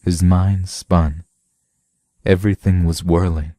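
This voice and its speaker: Slow, low-voiced man reading science fiction. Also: little pitch movement, mellow, dry recording, clean studio sound. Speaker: narrator. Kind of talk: scripted narration, audiobook reading of a sci-fi story.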